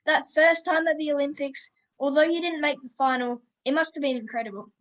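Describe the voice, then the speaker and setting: A woman's voice: high-pitched, fairly even intonation, a little fast, fairly bright tone. A woman hosting an interview podcast, upbeat and friendly, asking questions and calling her guest mate. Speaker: podcast host.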